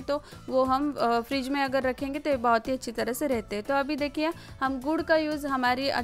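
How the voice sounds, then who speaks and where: A woman narrates a casual home cooking voice-over in a hesitant, explanatory way, often repeating words. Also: high voice. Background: background music.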